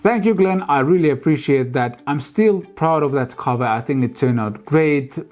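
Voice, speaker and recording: A man, hosting a casual music vlog and answering viewer comments in a relaxed, chatty way. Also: fairly high pitch, normal speed. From Finland. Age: 30s. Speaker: music channel host.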